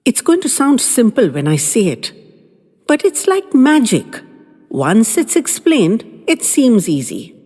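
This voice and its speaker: A woman reading a first-person love story aloud as a podcast narrator, in an expressive, dramatic way. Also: a little high, a little slow, faint music behind. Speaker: audiobook narrator. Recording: long reverberant hall.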